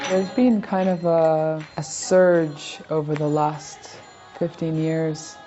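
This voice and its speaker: Low, flat-voiced woman speaking slowly. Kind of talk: documentary interview. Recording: lo-fi with faint music.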